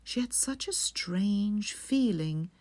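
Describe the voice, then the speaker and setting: Slow, soothing woman bedtime storyteller. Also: medium pitch, animated, a little mellow. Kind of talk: slow, soothing scripted fairy-tale narration for sleep.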